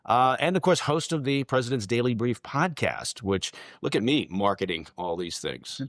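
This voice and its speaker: A man's voice: mid-range voice, animated, moderate pace, a bit bright, no echo, clean studio sound. A man guest in an interview, easygoing and joking as he introduces himself. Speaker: guest, former intelligence officer. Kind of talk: casual interview chat.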